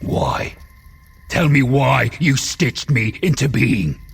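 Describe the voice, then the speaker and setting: Slow, gravelly, bitter monster. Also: male voice, medium pitch, animated, full character voice. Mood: bitter, accusing. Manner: grandiose, archaic, deliberate speech. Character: wretched, vengeful man-made monster, bitter and eloquent. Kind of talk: audio drama acting.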